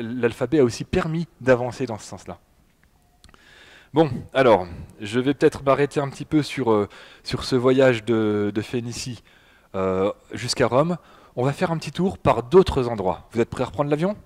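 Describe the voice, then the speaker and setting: A man's voice: medium pitch, darkish tone. A man giving a chatty public lecture, often speaking directly to the audience. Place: public hall.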